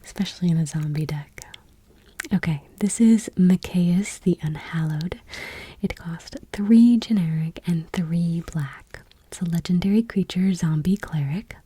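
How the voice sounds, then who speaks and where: A woman host speaking in a soft, breathy whisper, gently and without hurry, reading text aloud in ASMR style. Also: lowish voice, a little slow.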